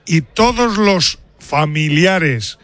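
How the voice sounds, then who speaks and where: Higher-voiced Spanish football radio reporter. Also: male voice, animated, very slow, fairly bright tone. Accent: Spain. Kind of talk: lively unscripted sports talk.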